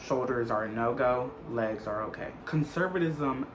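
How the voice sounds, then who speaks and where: A man's voice: medium pitch, moderate pace, noisy background. A man in his twenties with an American accent, chatting casually and rambling a little as he answers questions as a vlogger, often repeating phrases for emphasis. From United States. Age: 20s. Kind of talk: casual Q&A chat to camera. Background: background music.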